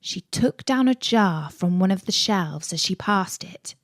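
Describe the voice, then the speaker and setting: A woman's voice: medium pitch, expressive, a little slow, no echo, clean studio sound. A woman reading a children's story as a scripted narration.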